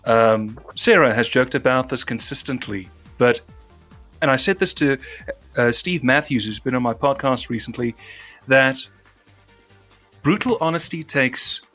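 Bright-voiced south african guy with a british-trained accent, talks in long careful sentences, faint music underneath. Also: mid-range voice, lively intonation, measured pace, pauses often. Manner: formal, careful diction, long winding sentences. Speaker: podcast host. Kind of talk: casual podcast chat.

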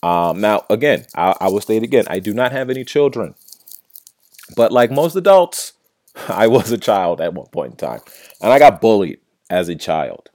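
A young man with a lowish voice rants bluntly on his own podcast, swearing freely. He stumbles and stutters now and then and keeps tacking "right?" onto his points. The close recording is dry, with no room sound. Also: big pitch swings, moderate pace, darkish tone, no echo. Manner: blunt, profane, stutters at times; often ends points with "right?". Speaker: podcast host. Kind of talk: casual solo podcast rant.

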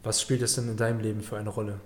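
A man interviewing a guest, gently probing and affirming, often restarting his questions mid-sentence. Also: fairly low pitch, level tone, racing, reverberant.

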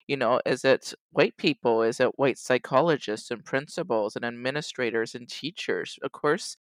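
Male panelist, medium pitch, dry recording, conversational and halting. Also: no room sound. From Toronto, Canada. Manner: halting, frequent "you know" and "like". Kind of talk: personal, conversational panel discussion.

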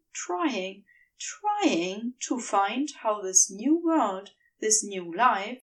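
A woman narrates a story in a high, lively voice. She reads in a measured way, leaving deliberate pauses between phrases. She sits a little away from the microphone. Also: distant mic, poor audio. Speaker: podfic reader. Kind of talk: scripted fiction narration.

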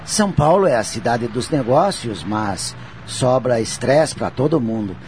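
An actor playing a polite, agreeable former friend in a Brazilian radio soap, with a Brazilian accent. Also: male voice, medium pitch, animated, measured pace, darkish tone, a little hiss or hum. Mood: sympathetic. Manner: courteous, agreeable. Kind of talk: radio soap opera acting.

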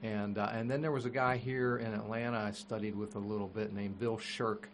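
A man's voice: fairly low pitch, fairly even intonation, brisk, a little hiss or hum. A man talking casually as a drummer guest, rambling through his background and humming drum patterns aloud. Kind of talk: casual chat.

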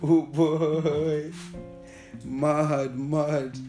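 Slow-talking Nigerian man, slightly high voice. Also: level tone, dark tone. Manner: laid-back, agreeing in short interjections. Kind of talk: casual chat. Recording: echoey room, music behind.

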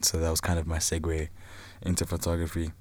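A man's voice: low voice, completely flat delivery, fairly quick, darkish tone, no echo. A South African man in his twenties, talking casually about himself as an interview guest. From Zimbabwe and South Africa. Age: 20s. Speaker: podcast guest, film student and photographer.

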